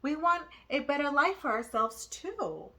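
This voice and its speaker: A frightened young refugee man from a storybook played by a woman, begging earnestly in a raised, bright voice. He sounds polite and desperate. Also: high voice, very animated, a little slow, voice pushed up, exaggerated voice. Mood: pleading. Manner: pleading, earnest. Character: frightened young refugee men pleading to stay.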